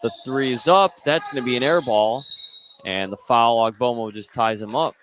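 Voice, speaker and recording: An American man does live basketball play-by-play at a medium pitch. He is courtside in a gym, with clear background noise and bouncing balls around his voice. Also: normal speed, fairly bright tone. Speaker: play-by-play sports announcer. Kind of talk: live basketball radio commentary.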